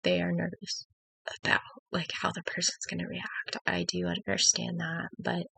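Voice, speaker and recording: A woman who has just woken up, speaking in a gravelly voice as she talks through a personal struggle at home on her laptop, hesitant and reflective with many fillers and restarts. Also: fairly low pitch, big pitch swings, moderate pace, sharp tone, dry recording, rough recording. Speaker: faith vlogger. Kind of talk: personal confessional talk to camera.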